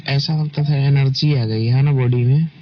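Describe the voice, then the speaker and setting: A man's voice: mid-range voice, steady tone, moderate pace. A man in a casual, intimate phone conversation with his partner, often checking for agreement as he talks. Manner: often ends remarks seeking agreement. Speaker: partner in a private couple's phone call. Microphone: phone call. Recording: noisy, dull lo-fi phone call recording.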